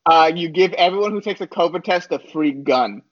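A comedian with a high voice riffs sarcastically and breaks into laughs between punchlines. The recording is dry and a little dull, with no room sound. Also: male voice, animated, brisk, no room sound. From United States. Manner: joking, laughs mid-sentence, sarcastic asides. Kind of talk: comedic podcast banter.

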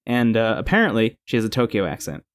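A man's voice: on the low side, fast. Man from New Jersey, podcast host, easygoing recap, casual chat. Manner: relaxed, leads the recap with asides.

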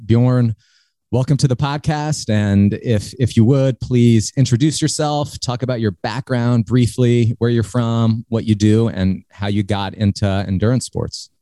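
A man hosting a podcast, reading a measured scripted introduction and then interviewing his guest, with small restarts. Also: mid-range voice, steady tone, mellow, dry recording, studio quality. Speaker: podcast host.

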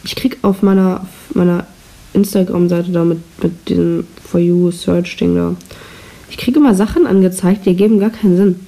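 A woman's voice: lowish voice, fairly even intonation, normal speed, gravelly, mellow, rough recording. A teenage girl hosting her own podcast, chatting casually in a rambling, self-deprecating way, recorded on a cheap microphone with audible hiss. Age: teens. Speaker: podcast host. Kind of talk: casual solo chat.

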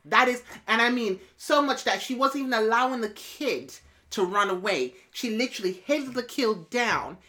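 A woman's voice: on the high side, lively intonation, normal speed, few pauses, bright, forceful tone. A woman in a candid conversation, arguing her point assertively and firmly. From Jamaican family background. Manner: assertive, argues her point, quotes her mother.

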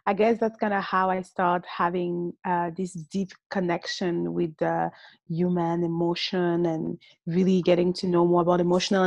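A woman from Cameroon, a podcast guest talking about her life in a relaxed way, pausing often with fillers. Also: fairly low pitch, gravelly, no echo. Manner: relaxed, with frequent fillers and pauses. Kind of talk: casual interview talk.

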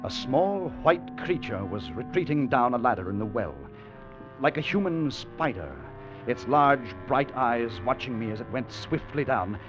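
An actor in an old radio drama playing a tense, haunted adventurer telling of his expedition, dramatic and at times halting. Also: mid-range voice, lively intonation, music in the background, lightly acted. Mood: tense, suspenseful. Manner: dramatic, hesitant and halting in dialogue. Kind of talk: 1940s-50s radio drama narration and acting. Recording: dull old radio recording.

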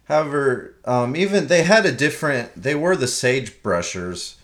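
A man's voice: mid-range voice, expressive, moderate pace, fairly bright tone. A man co-hosting a casual sports podcast with a slight Southern accent, talking through what he has looked up with plenty of ums and restarts. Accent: slight Southern American. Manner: chatty, explains research with many fillers. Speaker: podcast co-host.

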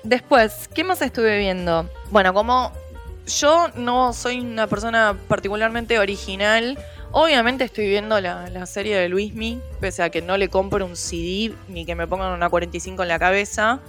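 A woman's voice: medium pitch, normal speed, fairly bright tone, soft background music. A woman with a Rioplatense Spanish accent, co-hosting a casual podcast chat, slangy and full of fillers. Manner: chatty, slangy, frequent fillers. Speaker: podcast co-host.

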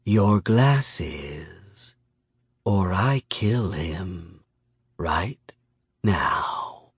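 A cold, contemptuous female spirit acted out by a man, purring her threats at a very slow, drawn-out pace. The storybook villain sounds predatory and mocking, recorded close and dry. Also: on the low side, lively intonation, breathy edge, no room sound, full character voice. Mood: purring menace.

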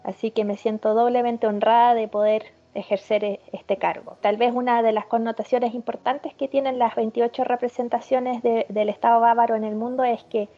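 A woman born in Chile and raised in northern Germany, answering interview questions in a composed, measured way. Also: on the high side, little pitch movement, narrow, tinny audio. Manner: measured and composed, with small restarts. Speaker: guest, regional trade representative.

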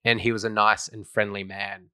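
A man's voice: lowish voice, little pitch movement, normal speed, bright, forceful tone, clear, resonant tone, no echo, studio quality. A man co-hosting a casual podcast, easygoing and joking, with mock-grand announcements of show segments. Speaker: podcast co-host.

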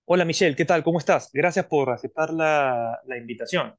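A man hosting an interview program, speaking in a measured way with drawn-out phrases and frequent fillers. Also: fairly high pitch. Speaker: host.

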